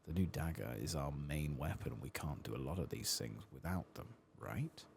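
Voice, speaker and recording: A soft-spoken man doing an ASMR game playthrough, hushed and sometimes whispering, musing in a reflective, hesitant way as he plays, close to the microphone. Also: rumbling low, animated, moderate pace, slight background noise. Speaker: ASMR gaming video host.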